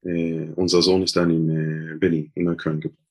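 A man's voice: sits low, flat delivery, fairly quick. A man from Israel, a Hebrew speaker, talking hesitantly as an interview guest with many filler sounds and restarts. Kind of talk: casual interview talk.

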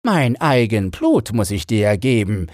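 A man's voice: fairly low pitch, big pitch swings, darkish tone, no echo, clean studio sound, voice pushed up. A man voicing a greedy, menacing female sea witch in a storybook reading, drawing out the words with relish. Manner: slow, drawn-out, relishing menace.

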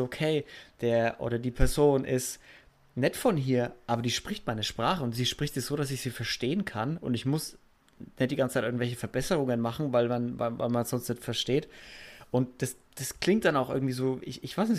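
A young man chatting casually as a podcast co-host, agreeable and quick to laugh. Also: medium pitch. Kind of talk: casual chat.

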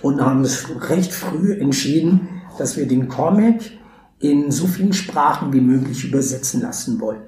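Man, warm voice, roomy sound. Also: mid-range voice, animated, normal speed. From Brazil. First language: Portuguese. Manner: thoughtful, hesitant with frequent fillers and restarts. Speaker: podcast guest, theatre educator. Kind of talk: conversational interview. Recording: roomy echo, faint background hiss.

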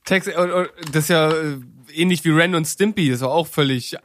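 Male podcast guest, casual delivery, pitch a little above average. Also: normal speed, fairly bright tone, a little hiss or hum. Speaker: podcast panelist. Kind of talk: casual chat.

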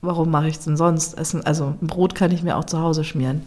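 Woman chef musing thru an interview, low-ish voice, kinda flat, lots of ums. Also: brisk. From Germany. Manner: reflective, searching for words, frequent fillers. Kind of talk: casual interview talk.

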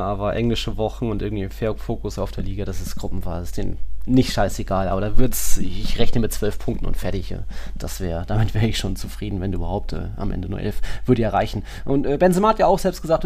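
A man's voice: lowish voice, brisk, creaky, darkish tone. A man co-hosting a football podcast in a casual, colloquial way with chuckling asides. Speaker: podcast host.

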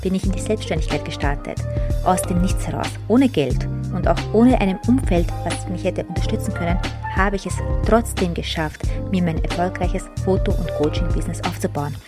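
A woman's voice: lowish voice, fairly quick, over music. A woman in her thirties speaking as a podcast host, introducing and interviewing. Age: 30s.

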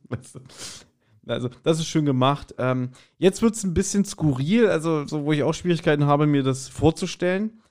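A man's voice: a little high, normal speed, a little mellow. A man co-hosting a podcast, casually retelling a story and acting out the quotes as he goes. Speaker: podcast co-host. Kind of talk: casual chat, retelling an audio drama episode.

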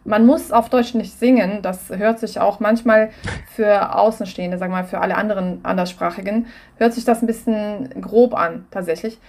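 A woman's voice: mid-range voice, normal speed, a little hiss or hum. A woman from Russia, a native Russian speaker, chatting casually and enthusiastically in an interview. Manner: lively, enthusiastic, many fillers. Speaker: comedian guest.

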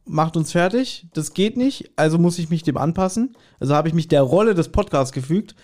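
A man's voice: fairly high pitch, animated, a little fast, darkish tone. A man with a German accent chatting casually as a podcast co-host, with a teasing, mock-scolding way of bantering.

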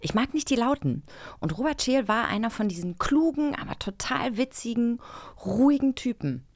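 A woman's voice: fairly high pitch, lively intonation, normal speed, no echo. A woman hosting a podcast in chatty banter, with an effusive, exclamatory way of talking. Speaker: podcast host.

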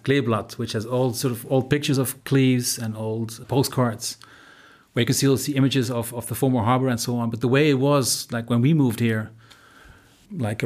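Dutch-accented man in easygoing interview. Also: medium pitch. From Netherlands. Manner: relaxed, thoughtful, with frequent fillers and restarts. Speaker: interview guest. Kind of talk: conversational interview.

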